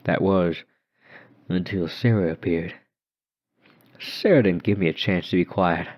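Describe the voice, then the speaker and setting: A man's voice: low voice, unhurried. A man narrating a horror story dramatically in the first person. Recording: dull muffled lo-fi recording.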